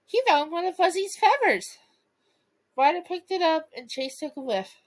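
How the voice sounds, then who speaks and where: A woman reading a bedtime story aloud and chatting casually to viewers, with a playful, giggly, expressive manner. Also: very high-pitched, moderate pace. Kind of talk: storybook read-aloud with casual asides to fans.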